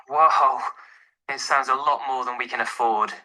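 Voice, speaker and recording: A man playing a flat hunter minding the cost, in a scripted listening-exercise dialogue. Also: mid-range voice, unhurried. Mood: interested, doubtful. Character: male flat hunter worried about cost.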